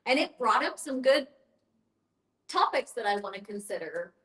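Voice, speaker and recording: A woman's voice: on the high side, very animated, normal speed, a bit bright. A woman facilitating an informal group discussion, explaining things in a reassuring way. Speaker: guest facilitator and end-of-life educator. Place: echoey room with a group. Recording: echoey room.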